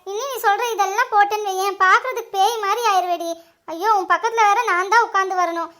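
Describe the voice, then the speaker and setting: A woman playing a shrill scolding mother. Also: fairly even intonation, pushed and bright. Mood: teasing. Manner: scolding, teasing, fast. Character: nagging middle-aged mother, sharp-tongued. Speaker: mother in a family skit. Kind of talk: comedy skit acting.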